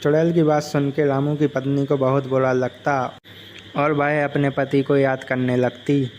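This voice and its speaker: A man's voice: medium pitch, little pitch movement, a little slow, darkish tone, slight background noise. A man reading a scripted folk tale as the narrator. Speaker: story narrator.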